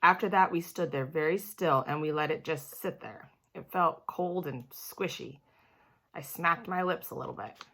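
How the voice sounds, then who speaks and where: Woman, deep-toned voice, children's book reading, storyteller, animated and childlike delivery. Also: normal speed, creaky. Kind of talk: expressive children's storybook read-aloud.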